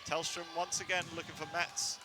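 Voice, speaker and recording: Play-by-play caller, male, slightly high voice, shouts on big plays, headset in a noisy hall. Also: level tone, moderate pace. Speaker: play-by-play commentator. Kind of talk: live sports commentary. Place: indoor sports hall, commentary box.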